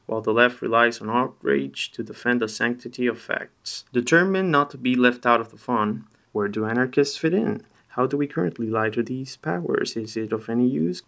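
Male podcast host, gravelly fry in a mid-range voice, plainspoken delivery of scripted and casual material. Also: mid-range voice, a bit bright. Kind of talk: reading a scripted topic, casual chat.

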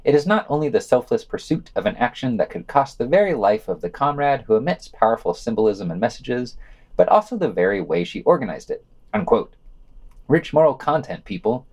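Animated man reading podcast news. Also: mid-range voice, brisk. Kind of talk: scripted news reading with casual sardonic asides.

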